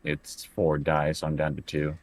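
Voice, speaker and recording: A man with a deep voice chats through a tabletop game as a player. The sound is dry and close, and he states his moves casually. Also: monotone, normal speed, dry recording.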